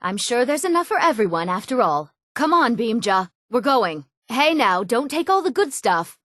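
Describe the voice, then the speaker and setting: A woman's voice: a little high, big pitch swings, moderate pace, bright, forceful tone, no echo, studio quality. A woman playing a headstrong, eager young treasure hunter in a fantasy game, with a youthful voice. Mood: impatient. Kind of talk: scripted fantasy game dialogue.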